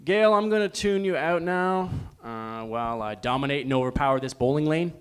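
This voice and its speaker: A man's voice: a little high, expressive, fairly quick, clear, resonant tone, close to an everyday voice. A man playing a cocky, scornful, over-competitive bowler, emphatic and self-important, in a live comedy table read. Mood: intensely focused.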